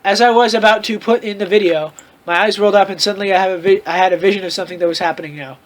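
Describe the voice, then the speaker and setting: A man's voice: high voice, steady tone, racing. A man hosting a comedic read-aloud of fan fiction, with theatrical, mocking asides and casual commentary.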